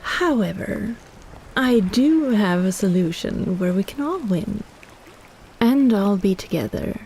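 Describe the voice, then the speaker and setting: Woman as a slow, purring, possessive kraken. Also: medium pitch, expressive, a little mellow, slight background noise, rough recording. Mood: scheming, persuasive. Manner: teasing, purring, drawn-out condescending coaxing. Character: possessive, teasing, scheming female kraken. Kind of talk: roleplay audio drama, intimate ASMR.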